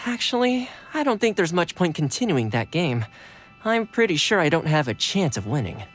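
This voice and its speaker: A man's voice: fairly high pitch, sing-song, normal speed, a bit bright, soft background music. A man playing a polite, wry young retainer to a lord in a video game, deferential and a little hesitant. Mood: reluctant. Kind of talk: video game character acting.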